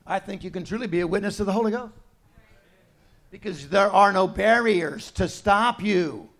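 A middle-aged man preaching a sermon in a conversational way, dropping to quiet asides and rising to loud, emphatic points. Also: high voice, moderate pace. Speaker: pastor.

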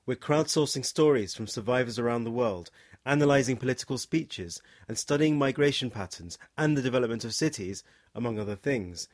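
A man of middle pitch reading a scripted podcast introduction. His delivery is smooth and even, with no stumbles. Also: fairly quick. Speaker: podcast narrator. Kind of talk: scripted narration.

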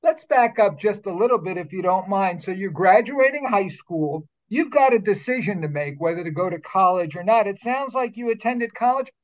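A man's voice: high-pitched, lively intonation, normal speed, hardly any pauses, lo-fi sound. A man hosting a talk show, speaking in a formal, upbeat, carefully enunciated way. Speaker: radio show host. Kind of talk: scripted show introduction and interview.

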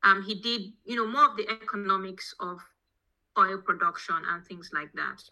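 She is a Nigerian-accented woman speaking as an interview guest. Her voice is strikingly bright and forceful, with a keen edge to it. The delivery is conversational, like an academic explaining her own path. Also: mid-range voice, normal speed, poor audio. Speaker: podcast guest, petroleum engineering professor. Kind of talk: conversational interview answers, telling own background.

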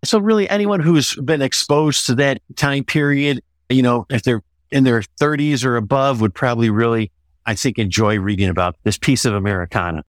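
A man's voice: mid-range voice, moderate pace, no echo, clean studio sound. A man talking as a guest author in an interview, discussing his own work conversationally.

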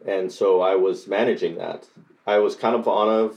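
A middle-aged man from Costa Rica with a deep, low-pitched voice. He answers interview questions in a halting way, full of fillers and restarts as he gathers his thoughts. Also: fairly even intonation, a little mellow, rough recording. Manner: hesitant, many fillers and restarts. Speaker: coding bootcamp graduate.

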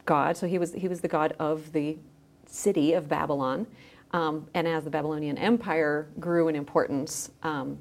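A woman's voice: deep voice, darkish tone. A woman guest on a podcast, explaining her work in a conversational way like a teacher.

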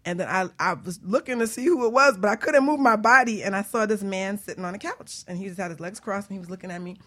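A woman hosting a talk show, telling personal stories in an informal, animated and teasing way. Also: medium pitch, fast. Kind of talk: casual personal storytelling and chat.

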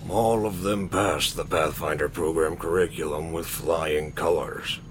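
An actor as a grave middle-aged military commander in a sci-fi audio drama. His voice is deep and resolute, heard over clear background ambience. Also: fairly even intonation, a little slow, a little hiss or hum. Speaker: commanding officer.

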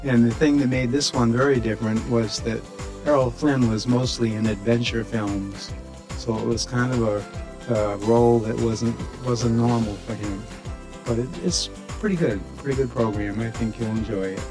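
A man giving a casual, halting spoken introduction as host of an old-time radio program, repeating himself now and then. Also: mid-range voice, fairly even intonation, over music. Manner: informal, halting, repeats phrases.

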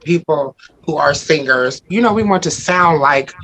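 Male podcast co-host, slightly high voice, relaxed and hesitant. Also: moderate pace, a little hiss or hum, low-quality recording. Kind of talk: casual chat.

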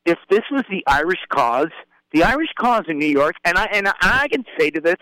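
A man who grew up in Puerto Rico and the Bronx speaks as a journalist guest on a talk panel. His voice sits a bit high, and he makes his case emphatically, peppering it with "right?" in a dry, close studio sound. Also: very animated, a little fast, pushed and bright, no echo, low-quality recording. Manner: emphatic, argumentative, uses "like" and "right?". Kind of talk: panel discussion.

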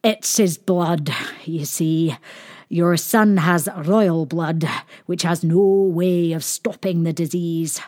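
A woman voicing a sly male fake doctor in a storybook, gravely and deliberately feigning expert knowledge. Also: on the low side, fairly even intonation, mellow. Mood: falsely reassuring.

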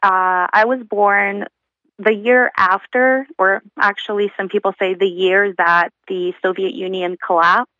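A woman in her twenties from Uzbekistan, a guest speaking thoughtfully from home, pausing with fillers. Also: mid-range voice, steady tone, sharp tone, no echo, lo-fi sound. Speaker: guest, accounting professional. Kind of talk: casual remote interview. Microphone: remote call from home.

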